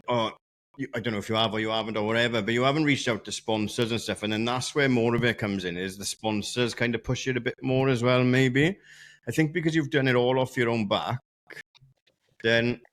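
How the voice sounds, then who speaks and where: A man's voice: medium pitch, a bit bright. A man co-hosting a podcast, asking long, rambling questions with restarts and hedges. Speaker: podcast co-host. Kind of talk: casual podcast chat.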